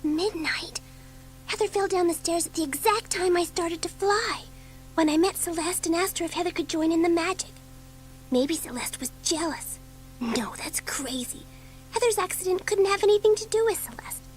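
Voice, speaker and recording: A woman playing an excitable, curious and anxious young schoolgirl in a dramatised audiobook. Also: extremely high pitch, normal speed, a little hiss or hum, poor audio. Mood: worried musing.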